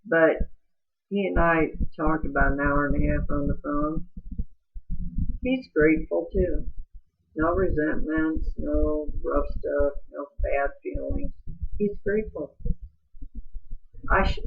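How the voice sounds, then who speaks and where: Slow, gravelly woman on tinny audio. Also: steady tone, slight background noise. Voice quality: low, rough-sounding voice. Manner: earnest, confiding, talks straight to the listener. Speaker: vlogger. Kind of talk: personal life story monologue.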